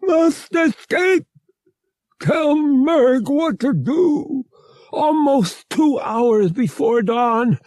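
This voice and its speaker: A huge cat-like alien from a space-adventure audiobook. The voice is pushed high and stretches each word slowly. It is earnest and anxious, with clipped grammar that drops small words. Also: male voice, animated, a little mellow, no echo, heavily acted. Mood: urgent, anxious. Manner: clipped broken grammar, third-person self-reference. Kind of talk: audiobook character voice.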